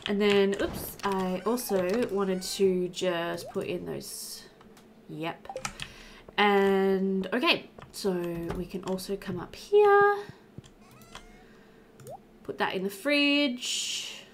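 A young woman talks through a video game in a casual, chatty way, thinking aloud. Her pitch is average for a woman. She is on a headset, with faint background noise and some clicking. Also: drawn-out words, pauses often. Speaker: let's-play host. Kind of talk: casual gameplay commentary.

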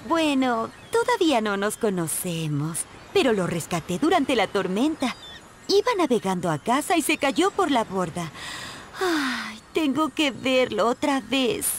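Dreamy teen mermaid princess, cartoon game, high and unhurried. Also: female voice, big pitch swings, slight background noise. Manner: wistful, eager. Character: sweet, dreamy, lovestruck teenage mermaid princess. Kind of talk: animated game character dialogue.

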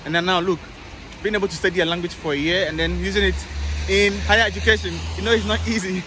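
A man's voice: high-pitched, fairly even intonation, fast talker, background noise. A young man vlogging outdoors about student life, talking in an upbeat, chatty way with lots of "I mean", filmed on a phone at arm's length. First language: English. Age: young adult. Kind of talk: casual vlog chat. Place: outdoors on a city street and campus. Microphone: phone held at arm's length.

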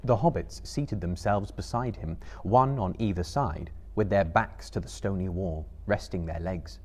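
A man in his thirties reading a novel aloud dramatically, with measured, suspenseful delivery. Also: low voice. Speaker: storyteller. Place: small book-lined stage before a live audience, indoors.

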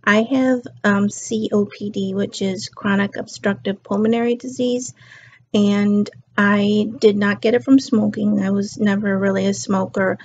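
Tired, slightly raspy woman on webcam. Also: medium pitch, fairly even intonation, unhurried, darkish tone. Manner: rambling and chatty, drifts between topics. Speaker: beauty and lifestyle vlogger. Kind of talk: casual chat to camera. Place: living room couch, indoors. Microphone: built-in webcam mic. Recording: dull lo-fi webcam audio.

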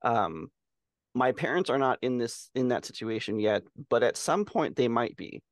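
A man chatting casually as a podcast co-host, thinking aloud in a halting way. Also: mid-range voice, animated, no echo, clean studio sound. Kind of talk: casual chat. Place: indoor room on a video call.

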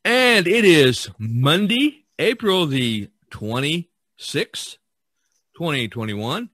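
A man hosting a podcast in casual talk, with a halting, hesitant delivery. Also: on the high side, sing-song, a bit bright, no room sound, clean studio sound. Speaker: podcast host.

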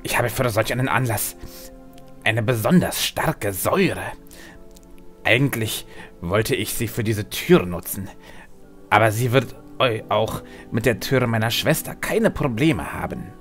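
A man's voice: fairly low pitch, lively intonation, unhurried, fairly bright tone, soft background music. A man playing a grim, earnest alchemist and devoted brother in a fan-made fantasy audio drama. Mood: earnest, instructing.

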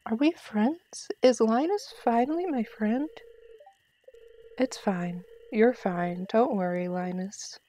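A woman's voice: on the high side, takes it slowly, mellow. A woman giving casual live commentary as she streams a game, playfully talking to herself and her viewers.

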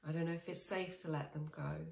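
A woman in her forties guiding a tapping session, speaking slow, soothing, repeated affirmations with sighs. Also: deep voice, fairly even intonation, vocal fry, a little mellow. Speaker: EFT tapping coach. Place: small room indoors. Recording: echoey small room.

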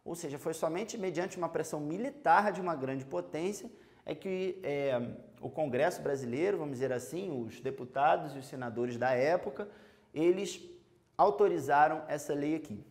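Young man, Brazilian accent, history teacher, fairly high voice, lapel mic, explanatory classroom tone. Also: normal speed. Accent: Brazilian Portuguese. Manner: explanatory, addresses students directly, frequent fillers; walks and gestures while talking. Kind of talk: televised school lesson. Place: studio in front of a chalkboard.

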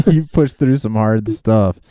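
A man's voice: lowish voice, animated, takes it slowly, dark tone, no echo, studio quality. A man hosting a podcast, talking in a laid-back, friendly way and laughing often. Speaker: podcast host. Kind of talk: casual interview chat.

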